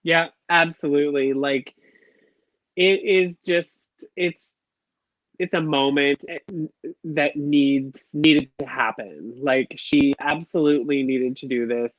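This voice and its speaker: A man's voice: a little high, steady tone, slow pace, fairly bright tone, dry recording. A man co-hosting a casual review chat, gushing and bubbly, full of "like" fillers. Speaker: podcast co-host. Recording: dull lo-fi dry sound.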